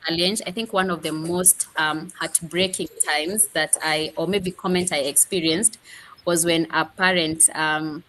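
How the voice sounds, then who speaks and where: Earnest low-voiced woman teacher. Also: fairly even intonation, measured pace, a bit bright, rough recording. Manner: earnest, storytelling with quoted speech and repeats. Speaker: Swahili teacher, guest. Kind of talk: interview answers.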